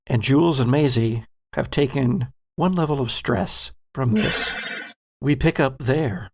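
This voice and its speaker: Slow gravelly male game master. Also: medium pitch, noisy background. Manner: slow, measured, atmospheric narration. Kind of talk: narrating a tabletop game.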